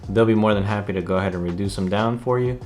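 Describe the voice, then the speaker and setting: Male vlog host, low-pitched, informal and explanatory, emphatic on key points. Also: fast talker, darkish tone, soft background music. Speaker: vlog host, real estate investor. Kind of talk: casual vlog talk to camera.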